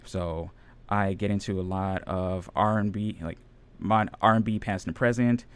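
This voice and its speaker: A man who is a radio DJ and audio editor, chatting casually in a radio interview, relaxed and rambling with many fillers. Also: fairly low pitch, a little slow, smooth.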